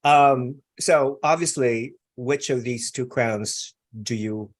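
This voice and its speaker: A man's voice: mid-range voice, takes it slowly. A man hosting a live online interview, chatty and effusive, with many fillers. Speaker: interview host.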